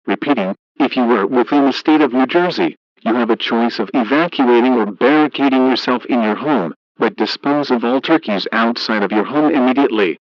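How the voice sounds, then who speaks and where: Male emergency broadcast announcer, mid-pitched, formal, flat scripted read with a synthetic edge, dull radio sound. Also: a little fast, few pauses, no room sound.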